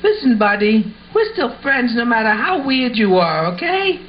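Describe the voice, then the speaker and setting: A man's voice: extremely high pitch, big pitch swings, moderate pace. A man playing a skeptical, questioning young boy, a teasing best buddy in an amateur puppet show. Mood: friendly. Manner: incredulous, questioning. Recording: muffled audio with faint background noise.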